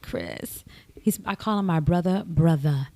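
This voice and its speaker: A woman guest on a podcast, telling stories in an animated way and laughing often. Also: low-pitched, unhurried, dry recording. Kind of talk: casual podcast conversation.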